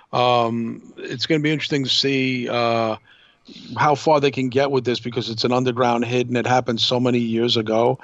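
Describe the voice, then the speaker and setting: A man's voice: medium pitch, fairly bright tone, no room sound, muffled recording. A middle-aged man co-hosting a podcast, giving casual, informed commentary in conversation. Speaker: podcast co-host. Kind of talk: casual chat, wrestling history commentary.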